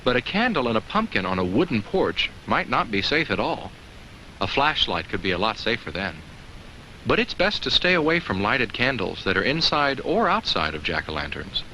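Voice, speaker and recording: Bright, lively talking jack-o'-lantern. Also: male voice, medium pitch, moderate pace. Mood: gently cautioning. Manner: simple, instructive, addresses children with questions and chuckles. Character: talking jack-o'-lantern, cheerful, kindly, safety-minded narrator. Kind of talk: 1980s educational film narration for children. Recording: faintly noisy old educational film soundtrack.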